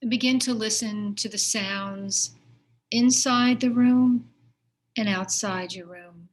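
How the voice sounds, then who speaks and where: A woman leading a guided meditation as a teacher, giving gentle, unhurried instructions with pauses between phrases. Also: medium pitch, fairly even intonation.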